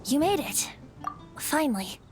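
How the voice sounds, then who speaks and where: A woman's voice: high-pitched, sing-song, a little slow, darkish tone. A woman voicing a youthful boyish bard, a playful, wistful wind spirit in a video game, with a light voice and lilting storyteller delivery. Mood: sheepish, playful. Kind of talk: video game cutscene acting. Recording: music behind the voice.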